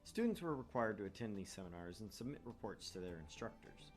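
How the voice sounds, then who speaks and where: A man's voice: lowish voice, very animated, fairly quick, faint music behind. A man hosting a gaming show, reading a visual novel aloud and commenting casually.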